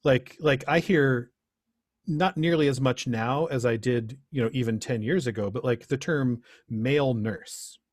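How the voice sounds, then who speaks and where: Middle-aged man, slightly husky, close dry sound, earnest and reflective, informal presenter. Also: mid-range voice, measured pace, dry recording. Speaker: presenter, teacher.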